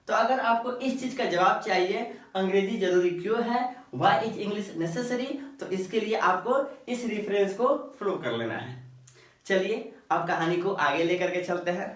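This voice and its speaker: A male teacher explaining a lesson, repeating each sentence and asking rhetorical questions, often checking that the listener is following. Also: high-pitched. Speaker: English teacher. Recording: very echoey room, voice far from the mic.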